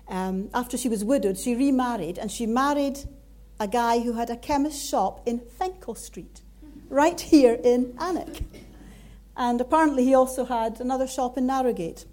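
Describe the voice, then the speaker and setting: A woman with a Scottish accent giving a sermon in an earnest, measured way. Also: fairly high pitch, mellow. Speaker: preacher.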